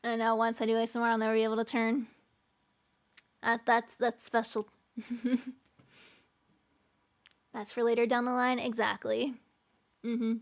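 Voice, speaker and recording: A woman's voice: a little high, monotone, moderate pace, long pauses. A woman streaming live, chatting casually with her chat, laughing often and trailing off with fillers. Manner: giggly, laughs often between lines.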